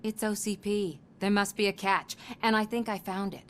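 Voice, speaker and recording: An actress playing a skeptical, defiant investigative reporter in a video game, talking in a wry, pointed way. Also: female voice, mid-range voice, steady tone, normal speed, slight background noise, natural voice. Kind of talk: video game cutscene acting.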